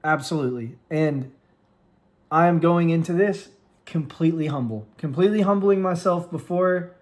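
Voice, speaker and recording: An American man in his late twenties speaks in a slightly raised register, close to a microphone in his own room. His talk is earnest and meandering, full of restarts and trailing pauses, like an unscripted vlog. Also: fairly high pitch. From United States. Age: 20s. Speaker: vlogger and streamer. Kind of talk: casual reflective vlog. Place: room at home with lights, plants and a cat on his lap. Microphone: studio microphone.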